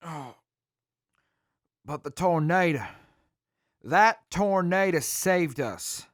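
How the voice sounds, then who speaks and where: A man playing a defeated, guilt-ridden former leader in jail, halting and self-blaming, in an audio drama. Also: a little high, animated, dry recording, clean studio sound. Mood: bitter, guilty. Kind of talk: post-apocalyptic audio drama acting.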